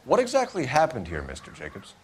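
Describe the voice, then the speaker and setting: An actor playing a probing 1940s American police detective in a video game, businesslike, clipped and authoritative. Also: male voice, mid-range voice, wildly swooping pitch, quick pace, slight background noise. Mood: questioning.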